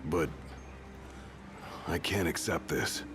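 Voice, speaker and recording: Young royal bodyguard, bottom-of-the-range voice, gruff, cocky, blunt; game cutscene under loud music. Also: male voice, very deep voice, steady tone, takes it slowly. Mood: respectful. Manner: casual, blunt, slangy. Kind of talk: video game cutscene acting.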